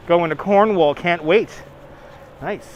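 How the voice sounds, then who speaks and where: A talkative man with a New York accent, slightly high-voiced, chats with his live viewers as he walks the city. He answers them by name and laughs easily. Faint street noise hums behind him. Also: muffled recording. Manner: chatty, reads chat names aloud, laughs often. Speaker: livestream host. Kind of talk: casual live-stream chat while walking. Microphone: phone on gimbal.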